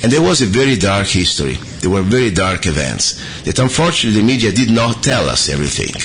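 A middle-aged man from Italy with an Italian accent, hosting an opinionated talk radio show in earnest, halting speech full of fillers and restarts. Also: fairly low pitch, very animated, fairly bright tone, slight background noise.

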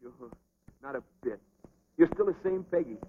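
An actor playing an earnest, quick-tempered young American boxer in love, with plain working-class speech in an old film drama. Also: male voice, fairly high pitch, animated, phone-quality sound, a little hiss or hum. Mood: tender, apologetic.

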